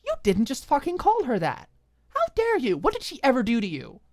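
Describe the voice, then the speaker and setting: A woman's voice: high voice, wildly swooping pitch, fairly quick, darkish tone, dry recording, studio quality, voice pushed up. A woman voicing an irritated, defensive young woman fed up with her girlfriend in an audiobook drama, indignant and emphatic. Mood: outraged.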